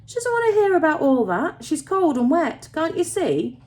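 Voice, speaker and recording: A kind young working man from a storybook as a woman narrator puts him on, protective and earnest. He speaks Yorkshire-accented dialect in a coaxing way, with pitch that rises and falls freely. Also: animated, moderate pace, a little mellow, close to an everyday voice. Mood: protective, chiding. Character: kind young male tin miner, protective, reassuring.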